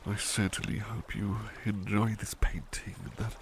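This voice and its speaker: Man playing a gentle, low-voiced devoted butler. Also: little pitch movement, moderate pace, background noise. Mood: drowsy, tender. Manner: hushed, breathy, hesitant, polite, tender. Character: gentle, devoted male butler, doting and apologetic. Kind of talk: ASMR roleplay, soft personal attention.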